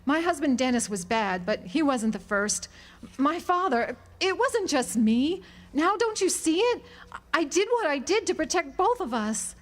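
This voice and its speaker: A woman acts out a defiant small-town woman weighed down by guilt. Her voice sits on the high side, and she confesses earnestly, with the dramatic delivery of a period radio play. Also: sing-song, measured pace. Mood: pleading confession. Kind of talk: radio drama acting, 1940s setting. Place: live amateur radio drama performance at an amphitheater.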